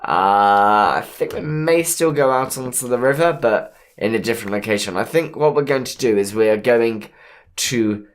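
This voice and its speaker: A man with a hoarse voice from a cold, easygoing and punny as he plans his moves aloud while commentating a game. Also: mid-range voice, normal speed. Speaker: gameplay commentator. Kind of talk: let's play commentary.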